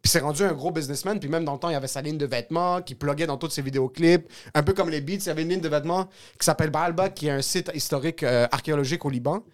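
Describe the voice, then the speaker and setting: Fast-talking man, upbeat. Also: a little high. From Lebanese background. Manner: storytelling, quick, laughs often. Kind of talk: casual chat.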